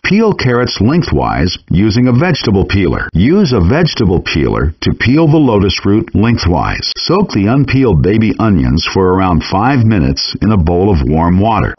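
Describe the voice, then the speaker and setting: Husky male game cooking instructor. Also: fairly low pitch. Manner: calm, measured, instructional delivery. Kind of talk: recorded game voice lines, step-by-step instructions. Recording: dull lo-fi game audio rip.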